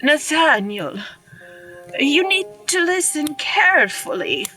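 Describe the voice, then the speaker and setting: A woman's voice: sits high, big pitch swings, slow pace, bright, forceful tone, far from the mic, faint music behind, heavily acted, rough recording. A woman playing a weary, dying old woman with solemn, archaic diction in a ghost story audio drama. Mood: stern, ominous.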